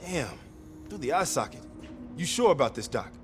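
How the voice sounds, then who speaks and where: An actor playing an uneasy medic in a video game cutscene, tense and terse. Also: male voice, a little high, sing-song, moderate pace. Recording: music behind the voice.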